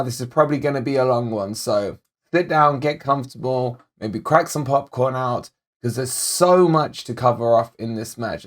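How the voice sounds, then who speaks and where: A man hosting a football fan channel, with a slightly croaky voice and a mid-range pitch. He talks chattily and directly, calling his viewers guys as he goes through the match. He speaks into a desk microphone. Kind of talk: casual match analysis to camera.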